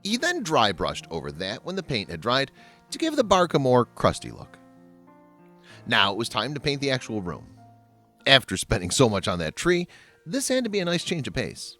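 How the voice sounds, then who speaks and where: A man delivers scripted narration as a voice-over. His pitch sits in a middle range for a man but swings very widely, giving a highly animated read. Faint music plays behind him. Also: normal speed. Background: background music.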